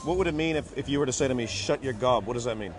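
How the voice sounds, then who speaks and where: A Canadian man co-hosting a travel show, joking and bantering with quick patter outdoors in a city. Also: medium pitch, music in the background. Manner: jokey and playful, quick patter.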